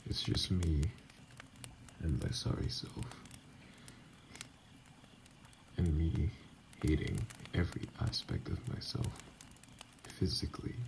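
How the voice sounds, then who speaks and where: A man playing a wounded, bitter, self-loathing vampire in an ASMR audio drama, brooding and halting, with a gravelly, breathy voice that often drops near a whisper. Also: low voice, darkish tone, slight background noise, heavily acted, poor audio. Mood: sorrowful, self-loathing.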